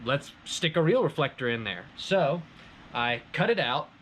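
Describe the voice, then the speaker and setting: A man's voice: fairly high pitch, very animated, a little slow, fairly bright tone, slight background noise. A man hosting a hobby prop-making video, explaining his build in a casual, chatty, enthusiastic way.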